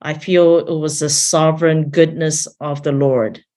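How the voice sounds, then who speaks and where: A woman from Taiwan who grew up in several countries, a missions leader sharing her faith story earnestly and haltingly as an interview guest. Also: deep voice, steady tone, warm tone. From born in Taiwan, grew up in several countries. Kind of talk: personal testimony in an interview.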